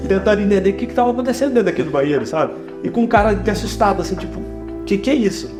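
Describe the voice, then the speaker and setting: A Brazilian man speaks in a somewhat high-set voice as an interview guest. He explains things conversationally and at length, often checking in with little tag questions. The room is audibly echoey, with guitar music playing clearly behind him. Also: lively intonation, very fast. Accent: Brazilian Portuguese. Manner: talkative, explanatory, frequently adds tag questions. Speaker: interview guest, photographer and production director. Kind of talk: conversational interview answers with personal anecdotes.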